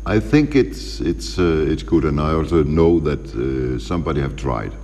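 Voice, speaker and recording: A middle-aged man from Denmark with a Danish accent, a studio guest chatting in halting English, hesitant, with many fillers and restarts as he searches for words. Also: extremely low pitch, animated, a little mellow, long reverb tail. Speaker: general manager, studio guest. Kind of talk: casual interview chat in halting English.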